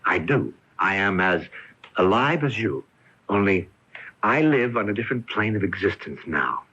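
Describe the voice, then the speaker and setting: A muffled old AM radio tape of a mystery play. A low, husky male ghost speaks haltingly and insistently, an intense, possessive lover whose voice swings widely in pitch. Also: very animated, pushed and bright, exaggerated voice. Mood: solemn, coaxing. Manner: theatrical, halting, insistent delivery. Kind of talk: radio mystery drama acting.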